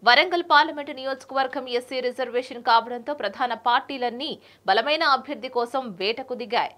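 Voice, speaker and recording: A woman's voice: a little high, fairly bright tone. A young woman presenting scripted news in a formal, announced delivery.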